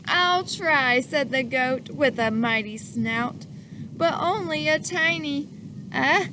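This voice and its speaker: High-voiced woman reading a picture book. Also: slow, noisy background. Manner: animated, asks the listener questions, acts out sneezes. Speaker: children's book reader.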